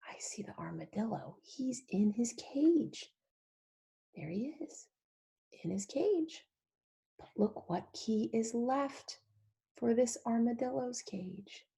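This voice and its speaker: A woman leading an interactive storybook read-along for young children, animated and emphatic, using simple repeated phrases and questions. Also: a little high, takes it slowly, vocal fry. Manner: animated, emphatic, often drops to a whisper.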